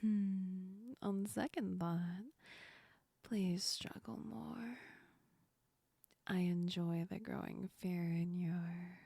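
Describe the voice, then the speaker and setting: A woman playing a teasing yet comforting female sleep demon in a whispered ASMR roleplay, breathy and soft, speaking close to the ear. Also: lowish voice, fairly even intonation, drawn-out words, dry recording, full character voice. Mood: teasing, mocking. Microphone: very close ASMR microphone.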